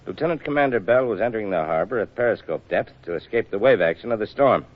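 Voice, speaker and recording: An actor playing a gruff older navy officer, formal and measured, in a 1940s radio drama. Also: male voice, lowish voice, animated. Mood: stern, deliberate. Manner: stern, measured, formal delivery. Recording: dull vintage 1940s radio recording.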